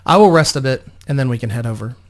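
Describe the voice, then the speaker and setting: A man's voice: mid-range voice, expressive, fast talker, close to an everyday voice. A man voicing a young female opera singer, polite, grateful and slightly anxious, in a storybook reading. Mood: polite, modest.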